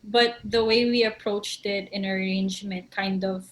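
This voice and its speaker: A woman's voice: mid-range voice, steady tone, measured pace, slight background noise, poor audio. A woman, a songwriter in a band, answering interview questions casually and mixing English with Tagalog while she thinks aloud.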